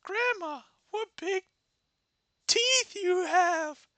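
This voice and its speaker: A man voicing an innocent young girl in a high falsetto. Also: lively intonation, very slow, bright, forceful tone, no room sound, exaggerated voice. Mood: naive.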